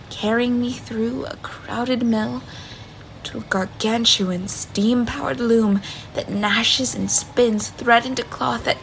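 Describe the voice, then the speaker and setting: An actress playing an earnest, bewildered woman in her twenties in an audio drama, speaking in a hesitant, deliberate manner. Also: fairly high pitch, a little hiss or hum, poor audio. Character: bewildered, earnest young woman trapped in a stranger's body. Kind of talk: audio drama acting with first-person narration.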